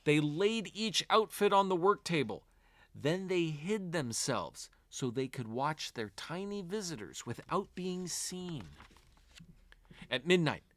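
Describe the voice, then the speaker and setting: Male children's storyteller: animated, swooping intonation, deliberate phrasing, lightly high voice, close dry sound. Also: dry recording. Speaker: storyteller reading a children's book aloud. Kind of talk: expressive read-aloud fairy tale narration for children.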